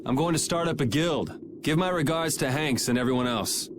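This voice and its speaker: A man's voice: fairly high pitch, fairly quick, noisy background, close to an everyday voice. A man playing a cocky, laid-back young rebel swordsman in an anime video game. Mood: resolved, casual.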